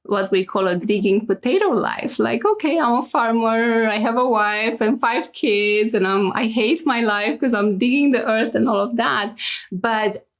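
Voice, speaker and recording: A woman's voice: fairly high pitch, measured pace. A woman with a Romanian accent, a hypnosis practitioner explaining her work as an interview guest and tagging her points with "right?".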